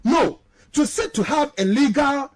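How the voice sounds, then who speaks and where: A man's voice: very high voice, expressive, a little slow. A man with a Ghanaian accent giving heated, emphatic political commentary, mixing Twi and English and repeating phrases for stress.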